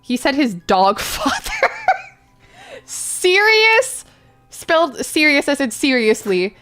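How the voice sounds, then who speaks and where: Woman co-reader for comic dramatic reading and banter, unhurried delivery, music underneath. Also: high-pitched, huge pitch swings, pushed and bright. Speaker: co-reader on a live stream.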